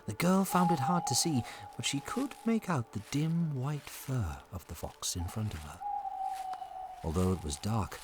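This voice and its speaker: A man narrating a scripted family adventure story in a dramatic, measured storytelling manner. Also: medium pitch, noisy background.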